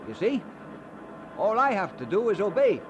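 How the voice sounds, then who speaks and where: An actor playing an earnest, devout young Scottish immigrant bound for seminary, halting when moved and firm about his faith, in a radio drama. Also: high-pitched, wildly swooping pitch, dull audio. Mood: firm, fervent. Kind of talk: mid-century radio drama acting.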